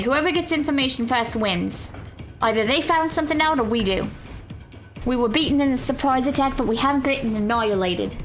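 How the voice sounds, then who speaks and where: A woman voicing a gruff male commander who barks orders, with an accent that wanders between gangster, Australian and British. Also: high voice, a bit bright, pitched above the performer's own voice. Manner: barking, urgent orders.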